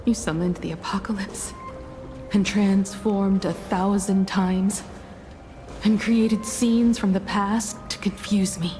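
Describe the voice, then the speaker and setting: A woman voicing a resolute, stern heroine in a video game, speaking in a firm, measured, confrontational way. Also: mid-range voice, fairly even intonation, close to an everyday voice. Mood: accusing. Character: resolute, stern heroine, a ship's navigator facing down an enemy. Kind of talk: dramatic video game voice acting. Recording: loud game music behind. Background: game soundtrack music.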